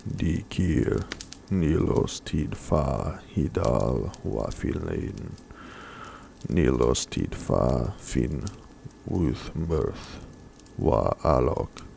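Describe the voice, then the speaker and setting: A man voicing an old, fatherly dragon chanting slowly and solemnly in a storybook reading. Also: rumbling low, lively intonation, gravelly, dark tone, slight background noise, voice pushed up, exaggerated voice.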